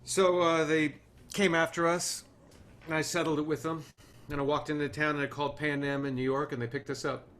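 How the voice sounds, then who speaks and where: A man playing a dejected, stubborn TV showrunner in a screenplay table read, speaking in a halting, conversational way. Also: fairly high pitch, level tone, fairly bright tone, close to an everyday voice. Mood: awkward. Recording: noisy online call audio.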